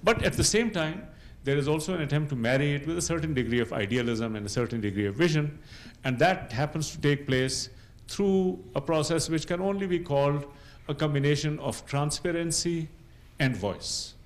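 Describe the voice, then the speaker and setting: A middle-aged man speaking unscripted on a panel, explaining in a measured way and often checking that listeners follow. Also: a little high. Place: university lecture room, seated at a panel table.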